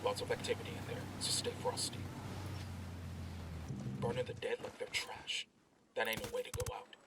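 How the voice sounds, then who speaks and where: An actor playing a cocky special forces soldier in a video game, speaking in terse military talk. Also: male voice, mid-range voice, huge pitch swings, normal speed. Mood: alert. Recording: distant voice, loud background noise.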